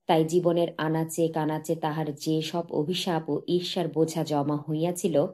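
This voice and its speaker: A woman narrating a literary audiobook in a measured, expressive manner with dramatic pauses. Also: lowish voice.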